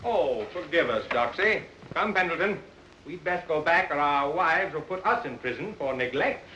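A courteous, wry colonial gentleman, has a fairly high, bright voice. It is 1930s film acting with an echoey, dull soundtrack. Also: expressive, normal speed, a little hiss or hum.

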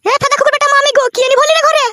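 Shrill, furious cartoon village wife. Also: female voice, level tone, racing, heavy background noise. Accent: rural West Bengal. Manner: nagging, cursing, wailing rants. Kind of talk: comedy cartoon dub, melodramatic quarrelling.

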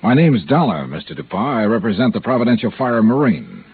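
An American actor playing a dry, persistent insurance investigator who also narrates in old radio detective style. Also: male voice, fairly low pitch, very animated, fast talker, slightly rough, narrow, tinny audio, heavily acted. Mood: insistent, dry. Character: hard-boiled male insurance investigator, dry, persistent, unhurried. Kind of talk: 1950s radio detective acting and first-person narration. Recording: band-limited old radio recording with music.

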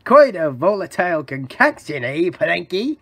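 A man's voice: high-pitched, sing-song, unhurried, vocal fry, background noise, full character voice. A man voicing a greedy old crab boss in a cartoon, crafty and bossy, with salty sailor talk. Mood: smug. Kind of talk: cartoon fan voice acting.

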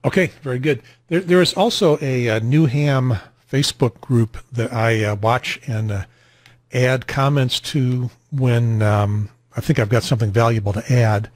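An American man in his sixties hosting a radio net in casual chat, rambling and halting, on a close mic in a small home room. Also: medium pitch, dry recording. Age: 60s. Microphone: close boom studio mic, headset on.

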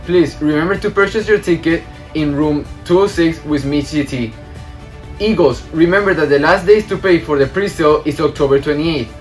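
A man's voice: on the high side, moderate pace. Teen guy reading school announcements, bit of music behind. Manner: reads with small slips and restarts. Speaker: student news anchor.

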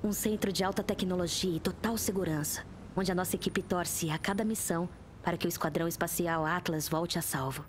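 An actress playing a cool, blunt young hacker in a Brazilian audio drama, matter-of-fact and assertive. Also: female voice, on the low side, fairly even intonation, a little fast, a little hiss or hum, natural voice. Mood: composed narrating. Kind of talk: narration and audio drama acting.

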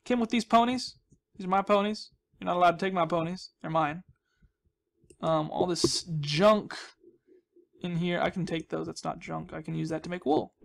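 A man's voice: high-pitched, moderate pace, vocal fry. A man hosting a gaming channel with casual, rambling commentary, playful and goofy, often repeating his words and phrases.

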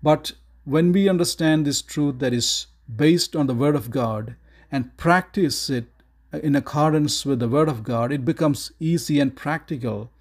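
A middle-aged man teaching a Bible study, measured and deliberate, reading verses aloud with frequent "uh" fillers, recorded indoors close to the camera. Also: a little high, husky.

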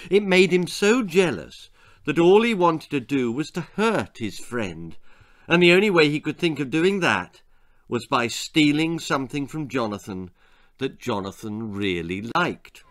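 A British man in his sixties reading a children's story aloud theatrically, with deliberate phrasing and dramatic pauses. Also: on the high side, sing-song, fairly bright tone. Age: 60s. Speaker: author reading his own stories. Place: small indoor room lined with books.

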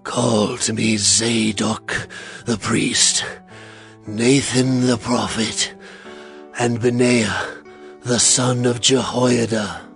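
Slow, gravelly old king. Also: mid-range voice, fairly even intonation, a bit bright, soft background music, heavily acted. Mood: solemn, commanding. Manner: slow, halting, deliberate phrasing. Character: old, solemn, dying king issuing royal commands. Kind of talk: dramatized audio Bible acting.

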